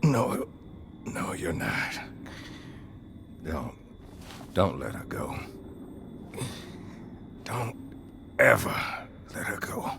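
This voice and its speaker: A man playing a gruff, defiant veteran sergeant in a video game, speaking tersely and dryly. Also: deep voice, big pitch swings, very slow, long pauses, noisy background, exaggerated voice. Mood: weak, urgent. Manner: terse, dry.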